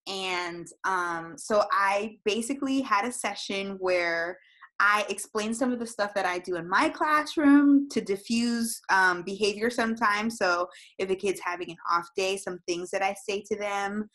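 A woman's voice: medium pitch, a little slow, a bit bright. A young adult woman teacher from Florida, chatting casually in an interview, laughing often and filling with "like". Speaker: teacher, guest.

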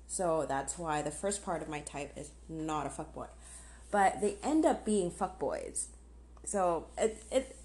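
Casual young woman, slightly low voice. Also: mellow. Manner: candid, giggly, informal. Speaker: podcast host. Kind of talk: casual solo podcast chat. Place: outdoors on a patio.

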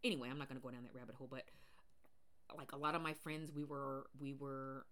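Woman podcast host, naturally deep low voice, rambling casual monologue with fillers. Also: steady tone, fast, fairly bright tone. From Pacific Northwest, US. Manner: rambling, many fillers and restarts.

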